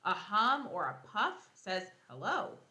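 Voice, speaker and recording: Female elementary teacher for kids' read-aloud: animated pitch, explanatory asides, roomy echoing recording. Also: takes it slowly. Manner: expressive, explanatory, thinks aloud for young pupils.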